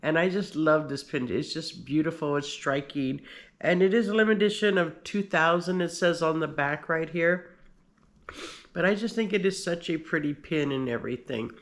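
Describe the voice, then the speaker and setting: A man's voice: a little high, normal speed. A man with a slight cold and a slightly nasal voice, talking through his collection in a casual show-and-tell, rambling with many fillers and restarts. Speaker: pin collector.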